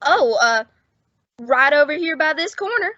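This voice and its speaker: Woman doing an earnest bellboy kid for old radio comedy, real high n bright, bit stammery. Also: animated, measured pace, dry recording, lo-fi sound, full character voice. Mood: eager, helpful. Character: eager, earnest young bellboy sidekick. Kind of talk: old-time radio comedy drama acting.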